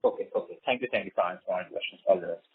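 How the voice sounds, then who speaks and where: A man with a husky voice, an analyst asking deferential, hesitant questions on an earnings call. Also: deep voice, racing. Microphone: phone. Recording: rough phone line.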